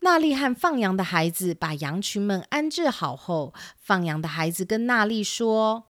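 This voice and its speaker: A woman's voice: mid-range voice, lively intonation, moderate pace, no echo, studio quality. A woman narrating a scripted children's story as the storyteller. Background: classical music.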